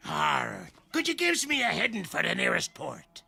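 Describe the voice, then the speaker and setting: A man playing a theatrical cartoon bus driver who talks like an old salty pirate in a gravelly voice. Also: sits high, wildly swooping pitch, normal speed, exaggerated voice. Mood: salty, dramatic. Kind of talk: animated cartoon acting. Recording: loud music behind the voice.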